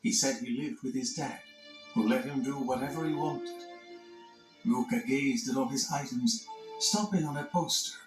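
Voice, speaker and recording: A man's voice: mid-range voice, moderate pace. Echoey male storybook narrator over music. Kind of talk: scripted children's read-along narration.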